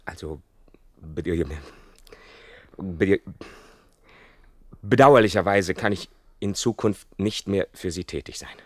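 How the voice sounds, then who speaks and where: An actor playing a timid, obsequious fence who stammers nervously in a comic 1960s German radio crime play. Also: male voice, sits low, slow, long pauses, no echo. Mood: flustered, evasive. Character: timid fence, nervous male underling cornered by gangsters.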